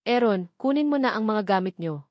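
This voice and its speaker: A woman's voice: mid-range voice, animated, brisk, dry recording, clean studio sound, lightly acted. Furious middle-aged dad, acted out by a woman narrator, harsh, scolding, condemning; family audio drama. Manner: scolding, shouted accusations.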